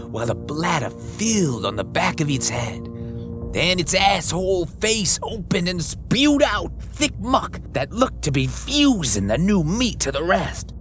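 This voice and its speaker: Slow, lively-pitched male horror storyteller. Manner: folksy rough frontier grammar, lively swings in pitch. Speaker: narrator. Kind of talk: first-person horror western audiobook narration. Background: music.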